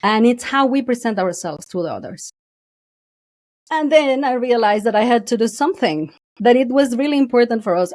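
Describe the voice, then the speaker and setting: A woman's voice: a little high, a little mellow, no room sound. A woman voice coach, a guest on a podcast, telling her story candidly. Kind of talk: interview.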